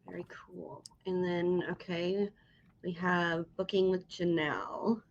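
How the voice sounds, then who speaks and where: A woman's voice: fairly low pitch, level tone, slow pace, creaky, a little hiss or hum. A woman hosting a casual interview in an informal, encouraging way. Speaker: interviewer, host. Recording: slightly dull, lo-fi sound.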